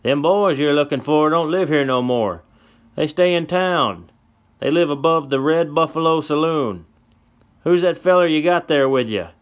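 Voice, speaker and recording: A man voicing a hostile old frontiersman with a gun, yelling in a shrill folksy drawl. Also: moderate pace, muffled recording, close to an everyday voice. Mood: gloating. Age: older.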